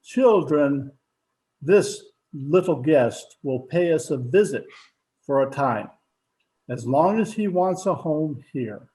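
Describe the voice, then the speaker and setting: A man acting as the father of a household in a radio play, a little high in pitch and unhurried. He is measured and authoritative, stern yet charitable, recorded at home over a video call. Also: expressive, mellow, poor audio. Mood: kindly. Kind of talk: old-time radio drama acting.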